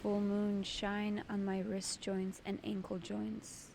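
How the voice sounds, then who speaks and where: A woman leading a guided meditation as its host, giving soothing, deliberate instructions in short phrases. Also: mid-range voice, completely flat delivery, creaky, darkish tone, a little hiss or hum.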